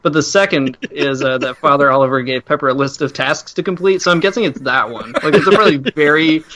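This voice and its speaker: A man's voice: a little high, brisk, bright, forceful tone, a little hiss or hum. A man chatting casually on a comedy podcast, telling stories in a dry, sarcastic way. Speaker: podcast guest.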